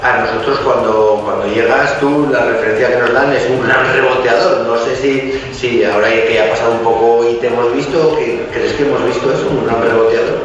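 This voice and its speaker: A male sports reporter asks rambling questions, tripping over repeated words. He sounds far from the mic in a long, booming hall, the sound dull and muffled with music loud behind him. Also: fairly even intonation, a little fast, hardly any pauses. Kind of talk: press conference questions.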